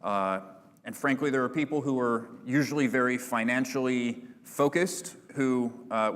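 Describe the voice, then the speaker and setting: A man presenting at a business conference, answering audience questions in a relaxed, filler-heavy corporate manner. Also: fairly low pitch, big-room sound. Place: large conference hall.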